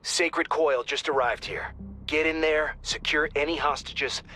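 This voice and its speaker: An actor playing a brisk, wry mission controller giving informal, urgent dispatches in a game. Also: male voice, fairly high pitch, fairly even intonation, sharp tone. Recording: music under the voice.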